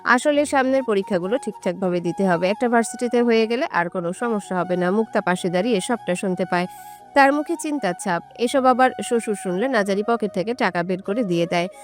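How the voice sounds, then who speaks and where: A woman's voice: medium pitch, expressive, a little fast. Woman narrating a romantic story over music.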